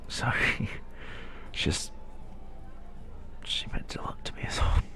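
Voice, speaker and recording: A man playing a kind, weary, bashful fantasy innkeeper in an intimate audio roleplay, speaking softly and breathily with a halting, sentimental manner. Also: whispered. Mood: emotional, hushed. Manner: halting, hesitant, gentle, sentimental.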